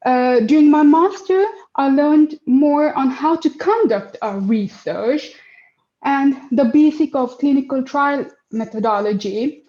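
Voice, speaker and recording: A woman's voice: high-pitched, dark tone, echoey room, lo-fi sound. A woman in her twenties, originally from Afghanistan, answering interview questions in a hesitant way with many fillers and restarts. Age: 20s. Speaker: project manager, interview guest.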